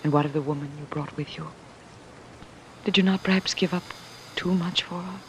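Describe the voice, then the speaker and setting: A woman's voice: lowish voice, steady tone, moderate pace, close to an everyday voice. A devoted, fretful woman in love played by a radio actress, earnest and theatrical. The vintage radio drama recording carries a light layer of noise. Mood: anxious, humble. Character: devoted, anxious woman, lover of a fallen ruler. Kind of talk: old-time radio drama acting. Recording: noisy vintage radio broadcast.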